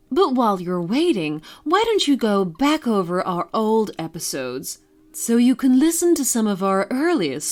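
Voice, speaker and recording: A woman hosting a storytelling podcast, addressing her listeners directly. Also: medium pitch. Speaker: podcast host.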